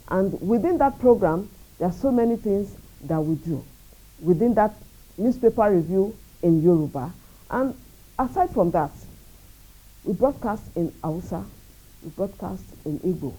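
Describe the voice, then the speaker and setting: A Nigerian woman speaking as a radio station executive in an interview, measured, earnest and proud. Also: medium pitch, lively intonation, mellow, rough recording. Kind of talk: interview answers.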